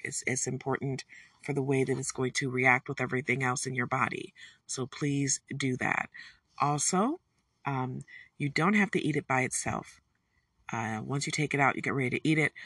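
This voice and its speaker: A woman's voice: very deep voice, a bit bright, slightly rough, no room sound. A woman cooking at home, chatting in a casual, folksy way with self-amused asides and corny jokes. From Detroit, USA. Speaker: home cook. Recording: distant mic with guitar music behind. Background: guitar music.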